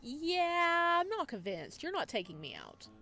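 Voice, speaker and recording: A woman's voice: high-pitched, huge pitch swings, measured pace, sharp tone, soft background music, pitched above the performer's own voice, heavily acted. Woman acting a blunt, irritated horror game protagonist. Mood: defiant, blunt. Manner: blunt, indignant.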